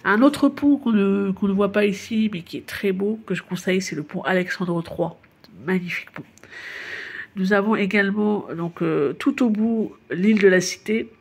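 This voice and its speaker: Gravelly, husky-voiced woman chatting casually. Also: mid-range voice, moderate pace. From Paris, France. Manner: chatty and informal, laughs at her own slips. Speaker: narrator of a guided city tour. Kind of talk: casual spoken tour narration addressed to the listener.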